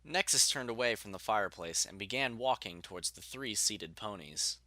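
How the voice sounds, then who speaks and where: Bright, low-voiced male storybook narrator. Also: lively intonation, normal speed, no echo.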